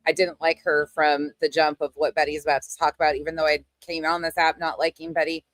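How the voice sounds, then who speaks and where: Woman, lowish voice, blunt, sarcastic, combative, sweary casual commentary, dry recording. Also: level tone, fairly quick, no echo. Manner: scornful, combative. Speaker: commentator reacting to another person's clip.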